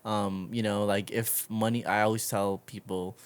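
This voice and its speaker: A man's voice: on the low side, level tone, moderate pace, clear, resonant tone. A man hosting a podcast in a casual, conversational interview style, rambling and encouraging as he talks. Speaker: podcast host.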